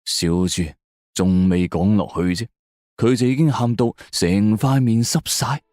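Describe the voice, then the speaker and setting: A man's voice: lowish voice, a little slow, a little mellow, dry recording, studio quality. A man narrates a scripted crime and ghost story in a suspenseful, theatrical way. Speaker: audio drama narrator. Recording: music bed under the voice. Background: background music.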